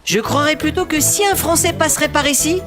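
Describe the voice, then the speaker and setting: A woman's voice: sits high, brisk, loud music behind the voice, natural voice. A woman voicing a kind, caring young boy train in a children's cartoon, earnest and friendly. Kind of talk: children's cartoon dub acting.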